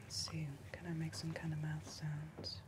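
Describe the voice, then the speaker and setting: A young woman playing a deadpan backwoods helper in a soft-spoken ASMR crime roleplay, halting and often whispering. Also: sits low, close to an everyday voice. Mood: soothing, hesitant. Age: young adult. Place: dim indoor room, seen at a bar counter. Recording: noticeable background noise, room ambience.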